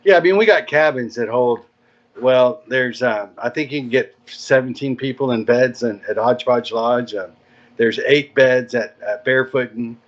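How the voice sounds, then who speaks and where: A man's voice: mid-range voice, normal speed. Easygoing man on dull lo-fi audio. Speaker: podcast guest, marina director. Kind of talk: casual interview chat.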